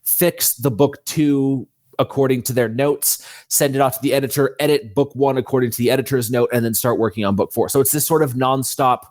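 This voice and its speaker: A man's voice: mid-range voice, fairly even intonation, a little fast, a little mellow, dry recording. A man speaking as a guest author in a conversational interview, explaining things earnestly.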